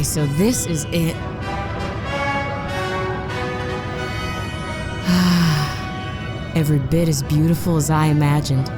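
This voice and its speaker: A brash, low-voiced space commander. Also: female voice. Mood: awed, wry. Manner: blunt, wry, informal, profane. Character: brash, sarcastic, tough-talking woman space commander. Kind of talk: full-cast sci-fi comedy audio drama. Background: music under the voice.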